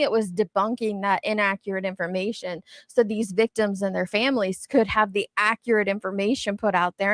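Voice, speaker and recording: A woman's voice: fairly high pitch, no echo, studio quality. A woman giving casual, candid commentary as an online show host, speaking emphatically and swearing mildly.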